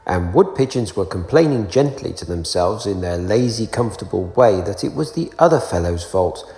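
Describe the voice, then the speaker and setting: A man reading a children's storybook aloud in an expressive narrating style. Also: lowish voice, normal speed. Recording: roomy echo, long reverb, faint music.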